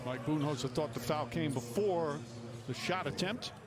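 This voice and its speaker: A man's voice: on the high side, moderate pace. A man giving basketball commentary with player stories, as a play-by-play announcer on a game broadcast. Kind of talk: sports commentary with background stories. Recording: noisy game broadcast with court sounds.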